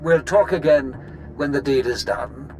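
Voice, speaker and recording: An actor playing a courtly, scheming older Sith lord in a video game, measured, theatrical and pompous in delivery. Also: male voice, a little high, darkish tone, soft background music, poor audio. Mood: conspiratorial, composed. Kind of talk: video game cutscene acting.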